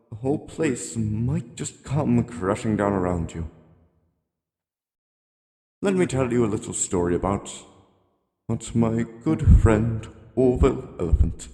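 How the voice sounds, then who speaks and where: A man voicing a genteel, rambling elderly animatronic hippo from a game, politely ominous and gently admonishing in a slow, courtly monologue. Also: on the low side. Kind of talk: scripted character monologue. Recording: long cavernous reverb.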